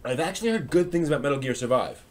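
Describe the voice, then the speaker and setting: A man hosting a casual livestream, rambling and thinking aloud as he chats with viewers in a halting way. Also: on the high side, lively intonation. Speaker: live stream host. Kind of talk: casual livestream chat, answering viewers' comments.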